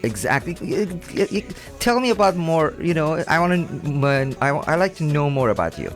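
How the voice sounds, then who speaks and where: A man hosting a live call-in show, speaking halting English and repeating short phrases like "very good" and "thank you.". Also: a little high, sing-song, soft background music.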